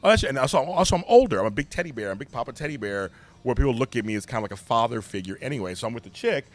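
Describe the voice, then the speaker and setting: A middle-aged man with a deep voice, speaking candidly and emphatically as a podcast guest. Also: racing. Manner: animated, emphatic storyteller. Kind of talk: candid conversation.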